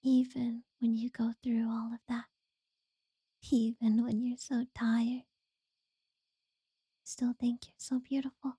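A woman voicing an obsessive, possessive captor in a whispered audio roleplay, slow and unsettlingly sweet. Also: on the high side, little pitch movement, no echo, studio quality, heavily acted. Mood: creepy tender. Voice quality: soft breathy whisper.

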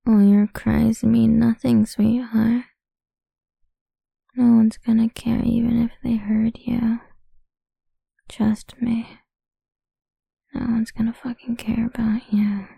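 A domineering, cruel woman taunts in a hushed, crude whisper, as a woman puts her on. Her voice is dark and full of gravelly fry. It moves slowly with little rise and fall, and it sits dry and close with no room around it. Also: fairly high pitch, little pitch movement, no room sound, heavily acted. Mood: menacing. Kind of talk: whispered audio roleplay.

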